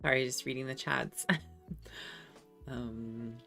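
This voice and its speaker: A woman's voice: rumbling low, level tone, takes it slowly, creaky, pushed and bright, faint music behind. A young woman with a Californian accent that has drifted over time, chatting casually on a live stream and reading out viewer comments. She rambles with many ums and restarts and laughs often, and she says she is feverish. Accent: Californian American, shifted by time abroad.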